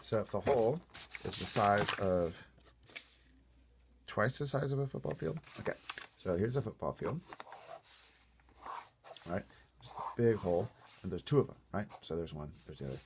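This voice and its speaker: A man reading a storybook aloud in an animated way, playfully breaking off for casual asides to wonder aloud. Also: on the low side, moderate pace, creaky, long pauses, background noise.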